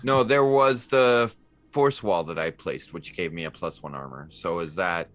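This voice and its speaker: A man's voice: low voice, unhurried, fairly bright tone. A man chatting casually as a player in a tabletop role-playing game.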